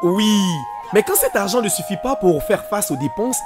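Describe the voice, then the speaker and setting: Performer as friendly cartoon guide, mid-pitched, didactic, everyday wording, guitar music behind. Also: animated, moderate pace, close to an everyday voice. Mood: patient, explanatory. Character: friendly patient budget guide, explaining to ordinary people. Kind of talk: animated educational film, explanatory dialogue.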